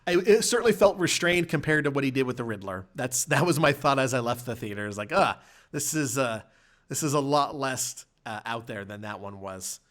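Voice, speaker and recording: A man hosting a podcast, casually recapping and reviewing a film in a halting, rambling way. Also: medium pitch, lively intonation, fairly bright tone. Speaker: podcast host.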